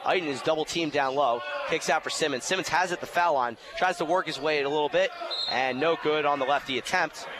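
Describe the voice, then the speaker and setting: A man giving live basketball play-by-play as the announcer, rising to a shout on big plays. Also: on the high side, fairly quick. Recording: noisy gym with court sounds. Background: basketball bounces and gym noise.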